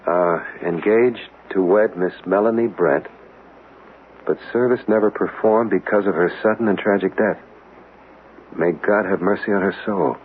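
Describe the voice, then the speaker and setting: Guy acting earnest insistent man asking questions in a radio mystery, lowish voice, tinny slightly noisy broadcast. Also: moderate pace, natural voice. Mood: troubled, questioning.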